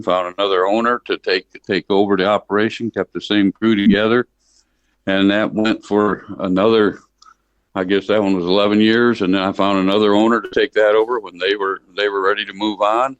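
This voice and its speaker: An older American man from Michigan talking conversationally as an interview guest, plain-spoken and folksy, often repeating words as he goes. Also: fairly low pitch. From Michigan, United States. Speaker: interview guest, livestock association chief executive. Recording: dull lo-fi line in a roomy space.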